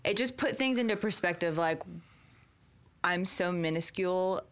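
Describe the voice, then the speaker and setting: A woman's voice: on the low side, moderate pace, smooth. A young adult woman with an American accent, casually telling her life story as a podcast guest. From Oklahoma, United States. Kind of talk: casual autobiographical chat. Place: indoor podcast room with poster wall. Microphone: desk microphone.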